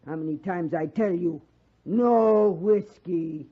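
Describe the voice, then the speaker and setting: An actress playing a stern, no-nonsense frontier wife who scolds and bosses in clipped broken English, in 1950s radio Western style. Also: female voice, fairly low pitch, takes it slowly, slightly rough. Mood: stern, warning. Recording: muffled 1950s radio recording.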